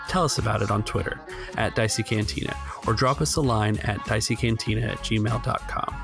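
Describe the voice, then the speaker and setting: A man's voice: medium pitch, vocal fry. A man acting as game master and podcast host, talking casually.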